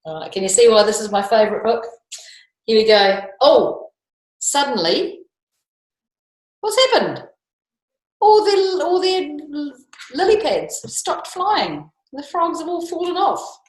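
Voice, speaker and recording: A woman's voice: high voice, measured pace, long pauses. Echoey New Zealand woman reading to children. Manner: animated, playful, asks listeners questions; often chuckles as she talks. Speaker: school teacher reading picture books to children. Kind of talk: read-aloud storytime, talking children through a picture book. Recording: echoey, roomy home-style recording.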